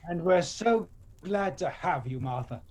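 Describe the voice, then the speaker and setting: An actor playing a gentle, devoted poor father in a Victorian audio play, tender and expressive. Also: high voice, unhurried. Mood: joyful, relieved. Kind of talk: audio play acting, old radio drama style.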